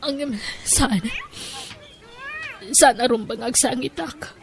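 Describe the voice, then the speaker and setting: High-pitched earnest young daughter. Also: female voice, slow pace, creaky, full character voice. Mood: heartbroken. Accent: Ilocano. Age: young adult. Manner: heartfelt, expressive. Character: earnest, sentimental young Filipino daughter, newly graduated. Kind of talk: audio drama acting.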